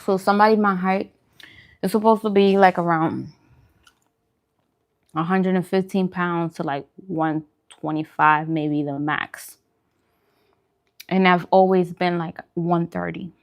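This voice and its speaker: Low-voiced woman chatting casually. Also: steady tone, measured pace, long pauses. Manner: informal, chatty, self-deprecating. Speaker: vlogger hosting a live mukbang stream. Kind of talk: casual livestream chat while eating.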